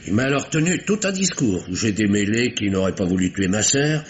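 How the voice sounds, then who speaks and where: A man's voice: on the low side, very animated, a little fast. An actor playing a nervous, courteous bourgeois man in a 1950s French radio mystery, formal and precise but slightly flustered. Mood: earnest, indignant. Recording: faintly noisy vintage radio recording.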